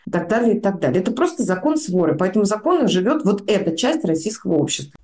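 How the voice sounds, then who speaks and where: Blunt female journalist, mellow warm tone, casual slangy talk. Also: medium pitch, sing-song, quick pace. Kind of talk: spoken commentary.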